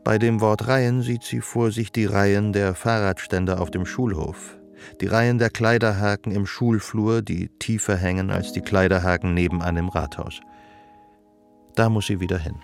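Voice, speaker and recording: A detached male narrator in a literary radio play. His low voice is gravelly with fry, and he describes things in measured phrases. Soft music sits underneath. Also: steady tone.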